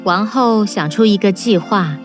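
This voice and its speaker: A woman narrating a children's fairy-tale cartoon in a calm, even storytelling manner. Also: medium pitch, a little slow, faint music behind.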